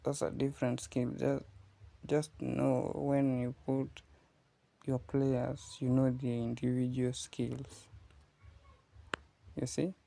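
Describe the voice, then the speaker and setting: Slow, gravelly man. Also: medium pitch, completely flat delivery, warm tone. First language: Kiswahili. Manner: explains patiently to viewers, often asking "you see?". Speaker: gaming channel host. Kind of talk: casual gameplay tutorial commentary. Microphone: phone recording.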